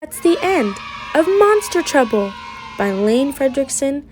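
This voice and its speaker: A woman reading a children's picture book aloud with an expressive, deliberate delivery. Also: high voice, faint music behind. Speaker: storybook reader.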